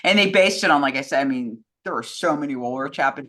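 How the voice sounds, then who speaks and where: A woman's voice: rumbling low, expressive, fast, a bit bright. A woman in casual film and radio chat, informal and opinionated, rambling with lots of "like". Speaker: co-host answering fan questions.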